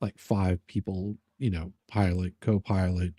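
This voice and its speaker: A man telling personal anecdotes in casual chat, halting and rambling as he repeats his words. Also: low-pitched, flat delivery, mellow, no room sound, clean studio sound.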